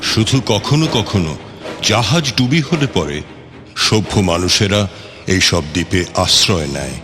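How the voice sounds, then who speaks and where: A man's voice: sits low, animated, measured pace. A man narrating a radio play from a script in a dramatic storytelling style. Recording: long reverb, music bed.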